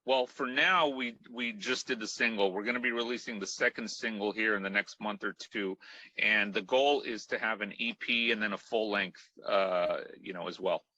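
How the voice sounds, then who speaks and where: A man's voice: fairly low pitch, moderate pace, pushed and bright, dry recording, low-quality recording. A man from Mexico, a Spanish speaker, chatting loosely as an interview guest with many fillers and restarts. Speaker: guest musician. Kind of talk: casual interview chat.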